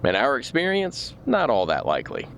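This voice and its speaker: An actor playing a dry, matter-of-fact investigating agent in a naturalistic podcast drama. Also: male voice, medium pitch, wildly swooping pitch, normal speed, fairly bright tone, slight background noise. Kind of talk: naturalistic fiction podcast acting.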